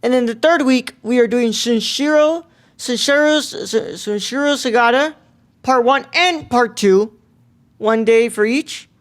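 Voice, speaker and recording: A man's voice: extremely high pitch, measured pace. A man co-hosting a film podcast, talking casually and informally. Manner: informal, mild swearing. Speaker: podcast co-host.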